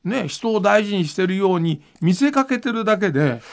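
A man talks in a high voice with plenty of creak in it. His pitch moves around freely as he explains things casually, like a host thinking aloud. The delivery is informal and animated. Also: normal speed. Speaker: podcast host and manga creator.

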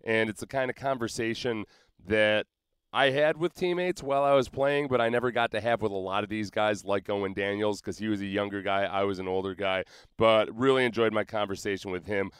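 A man's voice: fairly low pitch, brisk, clear, resonant tone, no room sound. A man in his forties hosting a podcast, chatting casually as an interviewer. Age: 40s. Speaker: former professional football player. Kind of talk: casual chat.

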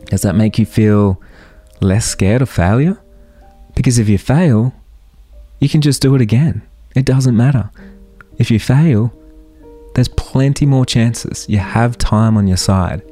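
Man, thirties, podcast host, motivational, earnest, rhetorical questions, warm dark tone. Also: mid-range voice, measured pace, long pauses, dry recording, soft background music. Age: 30s. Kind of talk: solo motivational podcast monologue.

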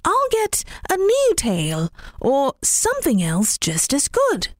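A woman voicing a generous, gentle male rabbit in a storybook reading, with a high, singsong manner. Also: wildly swooping pitch, slow pace, no echo, studio quality, exaggerated voice. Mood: cheerful.

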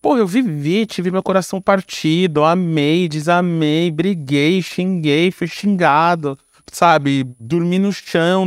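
A Brazilian man talks casually as an interview guest. His voice sits a little high for a man and is recorded very dry. He phrases things informally, with slang and frequent tag questions. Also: clean tone, no room sound. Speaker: guest, screenwriter and filmmaker. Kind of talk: casual conversational interview answers.

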